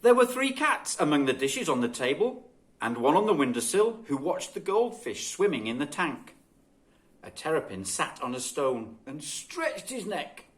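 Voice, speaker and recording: A man reading a children's story expressively and dramatically, with deliberate phrasing. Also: fairly high pitch, sing-song. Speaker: guest storybook reader.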